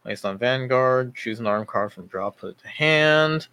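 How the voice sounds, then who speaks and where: A man's voice: mid-range voice, measured pace, bright, forceful tone, smooth. A man in his mid twenties co-hosting a podcast, thinking aloud as he explains game rules. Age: 20s. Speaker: podcast co-host. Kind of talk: casual chat.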